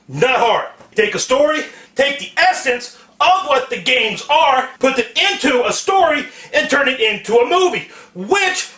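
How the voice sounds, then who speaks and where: A man hosting a profane comedic rant in an exasperated, emphatic, shouting manner. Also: extremely high pitch. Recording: echoey room, distant microphone.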